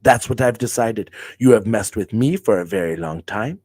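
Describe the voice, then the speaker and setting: A man doing a low, menacing wrestler threat. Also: normal speed, dry recording, clean studio sound, close to an everyday voice. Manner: low, hushed, intimate threats.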